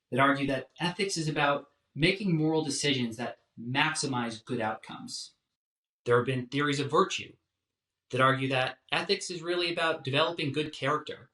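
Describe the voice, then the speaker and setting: A man giving a scripted philosophy lecture in a measured, deliberate way. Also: mid-range voice, pushed and bright. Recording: roomy echo, distant from mic.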